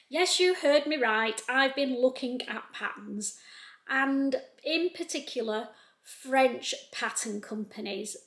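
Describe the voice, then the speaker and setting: A woman's voice: sits high, fairly bright tone. A woman in her fifties with a North Lancashire accent, chatting casually to her webcam from her home sewing room as a sewing vlogger. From England. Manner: chatty, unhurried, homely. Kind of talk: casual chat to camera and screen walkthrough.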